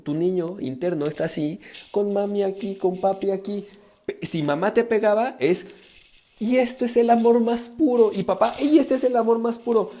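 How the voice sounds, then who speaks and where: Mellow, higher-pitched Mexican man explaining informally. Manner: explanatory, emphatic, acts out inner dialogue. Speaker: livestream speaker on relationships and psychology. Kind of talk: informal live self-help talk to viewers.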